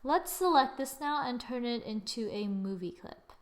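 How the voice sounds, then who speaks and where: A woman hosting a casual drawing software tutorial, thinking aloud informally and often murmuring as she works. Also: on the high side, lively intonation, moderate pace. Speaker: tutorial host. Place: screen-recording voice-over.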